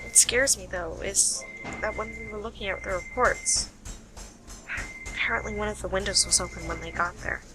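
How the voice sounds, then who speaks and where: A woman's voice: mid-range voice, moderate pace, over music, close to an everyday voice. A woman playing a shrewd, self-assured detective in an amateur radio play, explaining with dramatic deliberation. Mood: pensive.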